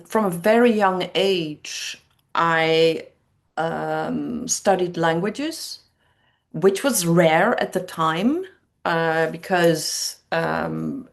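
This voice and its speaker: A woman in her fifties with a Dutch accent answers interview questions in a reflective, conversational way. Her voice sits a little low and her pace is very slow, with hesitant pauses between phrases. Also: vocal fry. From Netherlands. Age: 50s. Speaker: interview guest, training coach.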